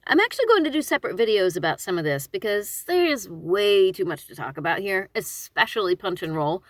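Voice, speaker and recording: A woman audiobook narrator hosting her own channel, giving informal instructional talk in an expressive, conversational and emphatic way, with self-deprecating asides. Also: medium pitch, fairly quick, dry recording.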